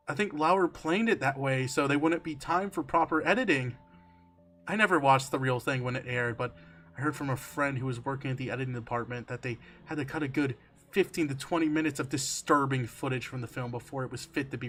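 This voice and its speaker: A man reading a horror story aloud on a stream, expressive and emphatic, slipping between dramatic narration and casual asides. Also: medium pitch. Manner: expressive, emphatic, swearing, breaking into amused asides. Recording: music under the voice. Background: background music.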